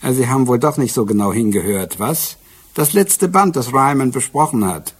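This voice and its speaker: Police inspector, radio drama: mid-pitched, slightly rough voice, businesslike, methodical, dryly teasing. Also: male voice, animated, moderate pace. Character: brisk, wry male police inspector in Britain. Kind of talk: German radio drama acting.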